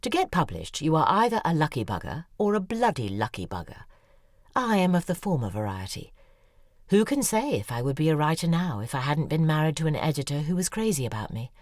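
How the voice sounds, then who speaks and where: A woman's voice: low-pitched, sing-song, brisk, dry recording. A woman, playing a neurotic, desperate novelist who speaks confessionally and often drops into a whisper. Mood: wry. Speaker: writer confiding in her ghostwriter. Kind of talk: audio drama acting. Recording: dry studio voice with faint music.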